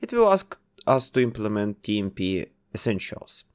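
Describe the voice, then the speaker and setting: Man narrating a software tutorial, lively pitch. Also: moderate pace, clean tone. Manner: methodical, instructive, explains each step. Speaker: tutorial host.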